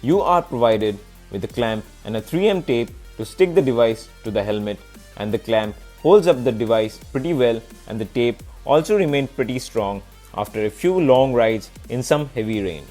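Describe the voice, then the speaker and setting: A man's voice: mid-range voice, animated, normal speed, a little mellow. A man presenting a scripted product review as a video reviewer, in narration style. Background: background music.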